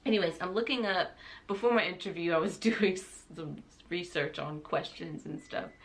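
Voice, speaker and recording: A woman's voice: fairly low pitch, moderate pace. A young adult woman chatting casually to camera as a vlogger, rambling in a giggly, self-deprecating way.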